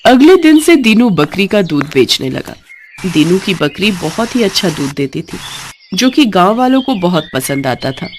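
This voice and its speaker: A woman's voice: mid-range voice, big pitch swings, normal speed, noisy background. A woman reading a scripted bedtime moral story as its narrator.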